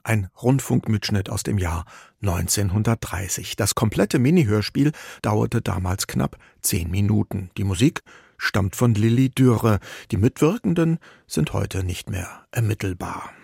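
A man presenting a radio feature, reading calm, matter-of-fact narration. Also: on the low side, a little slow, vocal fry, husky, dry recording, clean studio sound. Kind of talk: scripted documentary narration.

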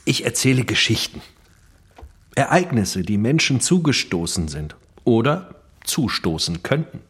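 A man's voice: mid-range voice, big pitch swings, unhurried, fairly bright tone. An actor playing a self-assured crook turned writer, telling his tales dramatically in a radio play. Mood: self-assured, reflective. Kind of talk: theatrical radio play monologue and narration. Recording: studio radio play with guitar music.

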